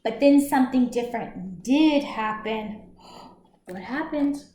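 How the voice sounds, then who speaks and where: High-voiced woman reading to children. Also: takes it slowly. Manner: expressive, addresses young listeners with questions. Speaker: library storyteller. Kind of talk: children's storybook read-aloud. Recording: roomy echo, some background noise.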